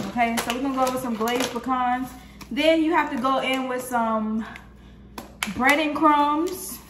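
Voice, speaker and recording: A young American woman casually demonstrating cooking to viewers in a chatty, slangy way, recorded in a home kitchen. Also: high-pitched. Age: young adult. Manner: informal, chatty, folksy slang. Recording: echoey kitchen with noticeable background noise.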